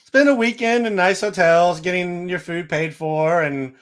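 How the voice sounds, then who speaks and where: A man's voice: high-pitched, measured pace, a bit bright, clear, resonant tone. A man hosting a sports podcast, giving casual, matter-of-fact baseball analysis.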